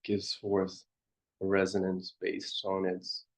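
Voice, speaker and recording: A man guides a meditation in a low voice, very slowly and with hardly any melody. He is contemplative, offering short halting phrases with long pauses between them. The recording is dull and lo-fi. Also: completely flat delivery. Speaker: meditation teacher.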